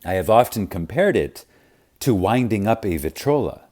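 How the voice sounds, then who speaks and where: A man reading a spiritual audiobook reverently and emphatically, with deliberate dramatic pauses. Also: on the low side, lively intonation, a little mellow. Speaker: audiobook narrator.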